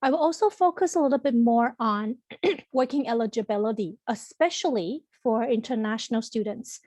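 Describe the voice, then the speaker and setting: A woman's voice: a little high, moderate pace, a little mellow, dry recording. Woman presenting on a video call. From Taiwan. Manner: friendly, explanatory, with frequent ums and restarts. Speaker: college representative presenting to students. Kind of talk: online information presentation. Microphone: computer mic on a video call.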